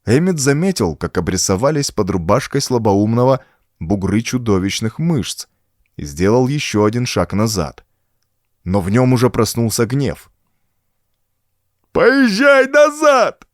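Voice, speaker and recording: A man's voice: fairly low pitch, lively intonation, normal speed, a little mellow, dry recording, clean studio sound. A man reading a horror story as an audiobook narrator, in a theatrical, suspenseful way.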